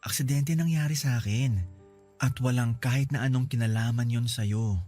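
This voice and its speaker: A man's voice: medium pitch, steady tone, normal speed, mellow, soft background music, natural voice. Man acting as a tender, forgiving young sweetheart. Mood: reassuring. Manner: tender, earnest, reassuring. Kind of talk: classic radio-drama acting.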